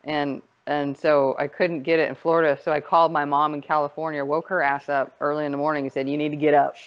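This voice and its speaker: Woman, middle-aged, podcast guest, casual chat, wry retorts, lower voice, dull lo-fi audio. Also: flat delivery, quick pace, clear, resonant tone.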